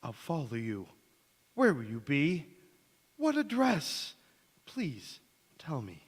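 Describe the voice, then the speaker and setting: A man playing a smitten, fickle young suitor in a radio drama, with a halting, earnest delivery. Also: fairly high pitch, wildly swooping pitch, breathy edge, full character voice. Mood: ardent. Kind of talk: stage radio drama acting. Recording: echoey church hall.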